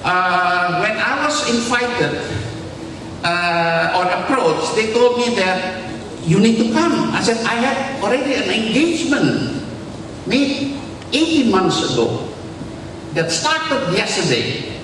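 Older man, from Indonesia, higher voice, slow storyteller pace, big reverberant hall, heard from afar. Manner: lively storyteller, walks the stage with a handheld mic. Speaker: conference panel speaker. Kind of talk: conference talk to a large audience. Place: large conference hall stage. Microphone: handheld mic. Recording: echoey hall, far from the mic, noisy.

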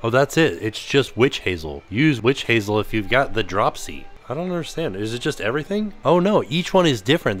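Lively, playful American man vlogging. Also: mid-range voice. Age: middle-aged. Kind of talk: casual vlog chat. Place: mostly inside a busy gift shop.

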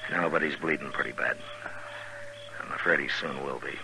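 An American actor playing a stern, steady Old West marshal in a 1950s radio western, measured and authoritative. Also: male voice, very deep voice, vocal fry, background noise. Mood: stern, calm. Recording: vintage 1950s radio broadcast.

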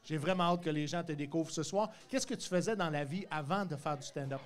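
A man's voice: fairly high pitch, fast talker. A man, hosting a podcast interview and speaking into a handheld mic in a studio. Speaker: podcast host. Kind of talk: casual interview. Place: dark podcast studio with neon lights.

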